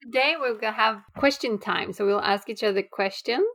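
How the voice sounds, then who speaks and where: A woman's voice: medium pitch, fairly quick, gravelly, fairly bright tone. Teasing, blunt woman podcast host. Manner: blunt, teasing, matter-of-fact. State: pregnant. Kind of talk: casual chat.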